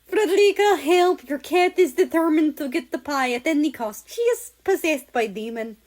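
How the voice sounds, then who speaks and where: Woman doing an earnest, excitable Russian man. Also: sits high, normal speed, pitched above the performer's own voice. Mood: panicked. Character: excitable, polite Russian man living with cats.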